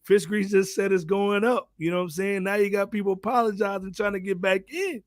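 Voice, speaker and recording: A man's voice: high-pitched, steady tone, fairly quick, no echo, studio quality. An American man co-hosting a podcast with casual, opinionated banter, full of slang and "man". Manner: slangy, peppers talk with "man". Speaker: podcast co-host.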